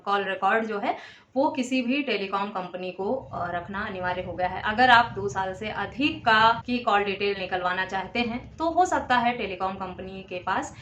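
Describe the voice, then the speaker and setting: A woman giving a measured, instructive explanation to viewers, in the style of a legal awareness host. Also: on the high side, a bit bright, lo-fi sound. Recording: echoey, reverberant room.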